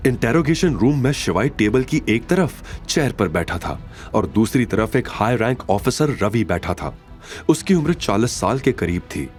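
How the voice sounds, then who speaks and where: Animated male audio drama narrator. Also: on the low side. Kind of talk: scripted dramatic story narration.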